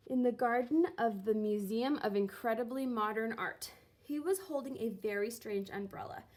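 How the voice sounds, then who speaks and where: A woman reading a children's book aloud expressively, with theatrical, deliberate phrasing and drawn-out words. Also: on the high side, darkish tone. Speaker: reader of a children's picture book, teacher. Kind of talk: expressive storybook read-aloud.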